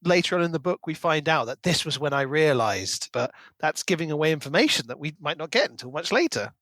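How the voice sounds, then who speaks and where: A man's voice: on the high side, lively intonation, a little fast, fairly bright tone, no echo. A man co-hosting a book podcast, speaking in a conversational way close to the microphone. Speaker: podcast co-host. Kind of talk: casual book discussion with prepared recap.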